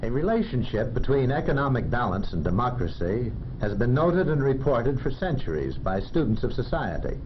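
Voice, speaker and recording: Middle-aged American man as a formal 1940s film lecturer, mid pitch, faintly noisy soundtrack. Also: dull audio. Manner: formal, explanatory. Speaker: expert lecturer.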